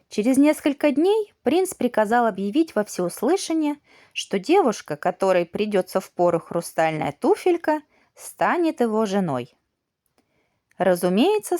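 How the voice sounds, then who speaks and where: A woman narrating a children's fairy tale as an audiobook, in an expressive, measured bedtime-story manner. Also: a little high, no echo, studio quality.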